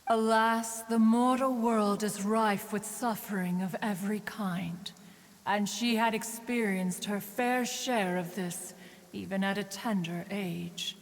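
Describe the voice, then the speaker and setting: A proud, stately immortal from a fantasy game. She speaks formally and aloofly at a middle pitch, with archaic dignity. A long reverb surrounds the voice. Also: steady tone, slow pace. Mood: grave, stately. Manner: formal, haughty, archaic phrasing. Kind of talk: video game voice acting.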